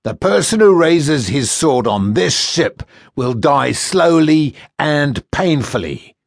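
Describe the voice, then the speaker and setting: A man's voice: a little high, lively intonation, gravelly, dry recording, clean studio sound, pitched above the performer's own voice, full character voice. A man voicing a cruel, mocking male ship's first mate who taunts his captives. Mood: taunting. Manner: taunting, mocking, drawn-out menace. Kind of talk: audiobook character voice.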